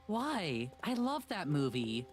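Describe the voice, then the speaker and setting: A woman's voice: low-pitched, big pitch swings, slow pace, over music, exaggerated voice. A woman playing a chatty young woman in a visual novel. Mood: playful.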